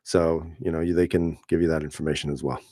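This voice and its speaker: A man hosting a casual live stream, reading viewer comments aloud and answering in a relaxed, chatty way with frequent fillers and easy laughs. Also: rumbling low, quick pace, dry recording, studio quality. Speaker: channel host reading live chat.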